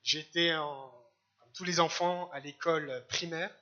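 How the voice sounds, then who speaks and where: High-voiced, bright male preacher. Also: fairly even intonation, muffled recording. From Algeria. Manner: preaching in short phrases, pausing for the interpreter. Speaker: guest preacher. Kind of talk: sermon with live interpretation. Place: church service.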